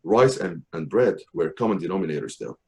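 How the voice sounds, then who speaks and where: A middle-aged man from Syria, reading his personal memoir aloud in a measured, careful way. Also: deep voice, big pitch swings, gravelly, dark tone. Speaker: author reading his essay.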